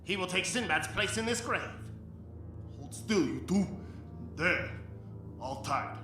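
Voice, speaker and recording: A man playing a high-pitched, taunting villain, echoey. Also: expressive, slow pace. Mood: gloating menace. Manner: taunting, theatrical. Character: menacing, greedy, treacherous male villain. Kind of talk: radio drama acting. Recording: echoey, loud music underneath.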